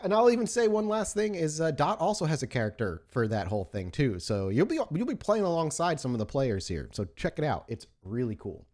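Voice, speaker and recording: A man's voice: fairly high pitch, big pitch swings, a little fast, few pauses, darkish tone. A man casually and enthusiastically chatting as the host of a tabletop roleplaying podcast. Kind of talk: casual podcast intro chat.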